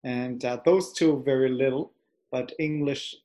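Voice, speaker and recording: Canadian man, halting guest answers. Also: mid-range voice, steady tone, dark tone. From western Canada. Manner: brief replies, halting restarts. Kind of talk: casual interview answers.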